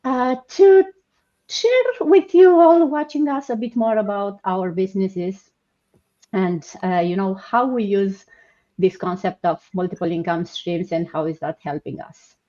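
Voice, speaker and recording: Woman host, from Romania, chatty and halting, dull video-call sound. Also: mid-range voice, dark tone. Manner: chatty, hesitant, many fillers. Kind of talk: live online panel hosting.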